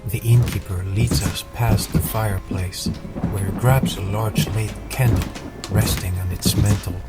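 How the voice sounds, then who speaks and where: Low-voiced male fantasy narrator over loud music. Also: steady tone, a little slow. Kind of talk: scripted first-person fantasy audiobook narration.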